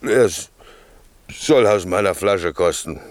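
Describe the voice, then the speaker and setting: Radio drama: boozy, bossy shipwrecked servant, deep register, slow theatrical drawl. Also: male voice, no echo. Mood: puzzled, boastful. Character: drunken, blustering shipwrecked servant with a wine bottle. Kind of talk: classic radio play acting.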